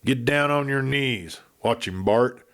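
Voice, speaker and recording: A man's voice: medium pitch, measured pace, a bit bright. A man voicing a young, menacing gunslinger in a Western story, giving terse commands in cowboy speech. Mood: cold menace. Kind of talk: Western audiobook character acting.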